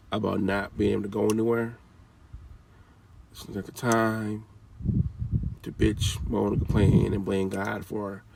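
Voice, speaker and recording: A man's voice: lowish voice, vocal fry, darkish tone, recorded from a distance, a little hiss or hum. A man giving an informal, scolding talk with casual slang, often repeating his phrases.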